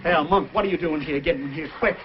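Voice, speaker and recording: An actor playing an anxious old trading post keeper, pleading urgently in an old-time radio adventure. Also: male voice, on the high side, brisk, music in the background, lightly acted. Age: older. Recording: muffled old radio recording.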